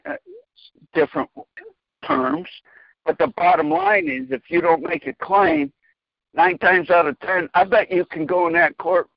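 A man with a high, gravelly voice that swoops up and down as he talks. He chats in a folksy, rambling way, often repeating words. The sound is dry and a little dull, with a lo-fi edge. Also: no echo. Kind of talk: casual chat.

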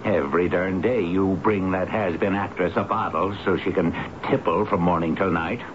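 An actor playing a hard-hearted, impatient building boss in a radio drama, blunt, sarcastic and dismissive. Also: male voice, deep voice, steady tone, normal speed, close to an everyday voice. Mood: scornful. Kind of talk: 1970s radio drama acting. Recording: noisy muffled old radio broadcast recording.

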